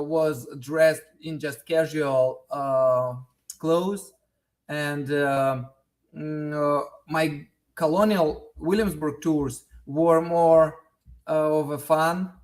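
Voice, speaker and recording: A man in his thirties, a native Russian speaker with a Russian accent, answering interview questions in a halting way with many fillers and restarts. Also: fairly high pitch, steady tone. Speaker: interview guest.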